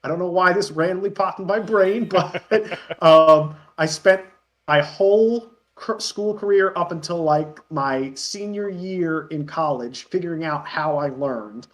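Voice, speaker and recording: A man's voice: a little high, a little slow. A man in his thirties chatting casually as an interview guest, telling rambling, chuckly stories. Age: 30s. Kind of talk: casual chat.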